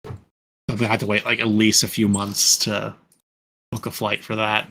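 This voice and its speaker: A man's voice: lowish voice, monotone, normal speed, a bit bright, a little hiss or hum. A man chatting casually as a podcast panelist, rambling and correcting himself as he goes, often saying "like". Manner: rambling, self-correcting, frequent "like". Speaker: podcast panelist and fan dub voice actor. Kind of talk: casual group chat.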